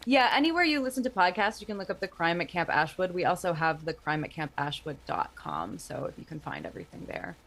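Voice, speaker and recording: A woman in her thirties with a slightly low voice talks as a guest in an interview. Her delivery is casual and conversational, like a creator explaining a project. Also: very animated, a little fast, hardly any pauses, a bit bright.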